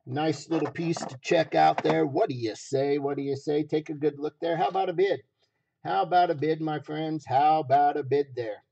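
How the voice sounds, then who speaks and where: A man hosting a livestream auction with folksy, repetitive casual sales patter, his voice rough, raw and a little hoarse. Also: a little high, steady tone, normal speed, warm tone, muffled recording. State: slightly hoarse.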